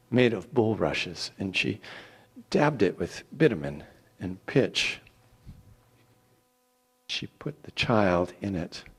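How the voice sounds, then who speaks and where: Expressive man reading scripture with dramatic swings. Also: lowish voice, breathy edge. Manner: theatrical, deliberate, with dramatic pauses. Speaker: Bible reader.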